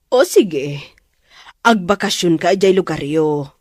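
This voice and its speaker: A woman's voice: on the low side, animated, a little slow, darkish tone, no echo, clean studio sound. A woman playing a gracious, obliging married lady employer in a radio drama. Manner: polite, obliging.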